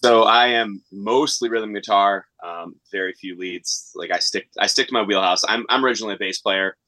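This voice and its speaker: Easygoing middle-aged guitarist, low and bright, dry close sound. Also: male voice, normal speed, no echo, poor audio. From Pennsylvania. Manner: easygoing, self-deprecating. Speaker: band guitarist. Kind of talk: casual interview chat.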